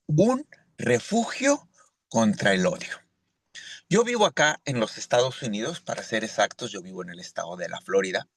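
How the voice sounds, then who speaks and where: A man's voice: medium pitch, dry recording. A man from Mexico giving an earnest church sermon as a lay preacher, often asking "¿verdad?" to his listeners. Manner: earnest, with frequent rhetorical "¿verdad?".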